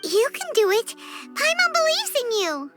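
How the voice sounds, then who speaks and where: A tiny excitable fairy girl from a game, with an extremely high, squeaky voice that leaps all over the place. She is pushy and loud when she wants answers. Bright game music plays behind her. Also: normal speed. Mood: encouraging. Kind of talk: game voice acting.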